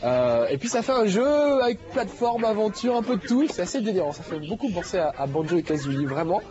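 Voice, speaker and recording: A man's voice: sits high, expressive, quick pace, darkish tone, dull audio, slight background noise. A man hosting a game show, talking casually over gameplay with a slight nasal head cold and lots of fillers. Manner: chatty, jokey, many fillers.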